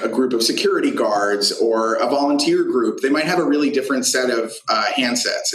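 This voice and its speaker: Male startup CEO, medium pitch, halting explanatory interview delivery with lots of fillers, audibly echoey room sound. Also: fairly bright tone. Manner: halting, filler-heavy, thinking aloud. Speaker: tech company founder and CEO being interviewed. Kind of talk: unscripted business interview answers.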